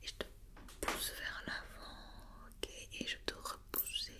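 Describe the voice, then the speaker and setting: A woman whispering an ASMR roleplay as a doctor giving an examination, with soft, reassuring and encouraging step-by-step instructions. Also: slow pace. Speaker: ASMR performer playing an occupational doctor examining a robot.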